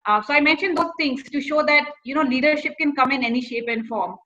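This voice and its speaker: A woman's voice: high-pitched, fairly quick, bright, forceful tone, dull audio. A woman with an Indian accent giving informal career advice to students, slipping between English and Hindi phrases.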